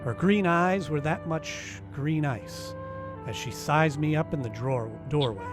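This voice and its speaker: A man's voice: fairly high pitch, measured pace, over music, natural voice. A man playing a wisecracking, dryly sardonic private eye in an old-time radio detective play. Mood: cool narrating. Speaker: actor in live radio play, also narrating.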